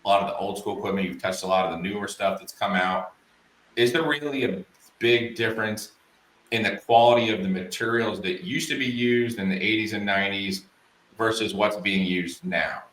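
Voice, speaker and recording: A man's voice: on the low side, normal speed, a bit bright, echoey room, poor audio. A man hosting a podcast, asking questions hesitantly with many fillers. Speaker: podcast host. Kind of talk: casual interview.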